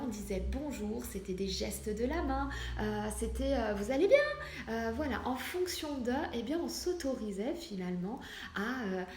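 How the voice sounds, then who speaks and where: A woman's voice: a little high, warm tone. A woman in her forties speaking in an earnest, emphatic and conversational way, as in a casual motivational vlog. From France, Portuguese family background. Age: 40s. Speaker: medium addressing online followers. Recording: roomy echo, clear background noise.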